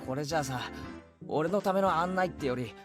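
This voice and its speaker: A man's voice: a little high, very fast, natural voice. A man playing a wry, exasperated teenage boy in a visual novel, talking in a casual boyish way. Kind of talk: Japanese visual novel voice acting. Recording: game music behind the voice.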